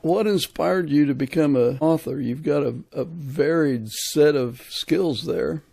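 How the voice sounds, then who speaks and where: A man's voice: a little high, a little slow, creaky, dark tone, husky. A man hosting a podcast and interviewing a guest in a conversational style.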